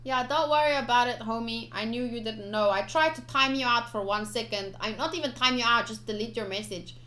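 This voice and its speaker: A woman's voice: a little high, fairly quick, sharp tone. A young woman streaming a game, informal and chatty, switching between casual commentary and reading aloud.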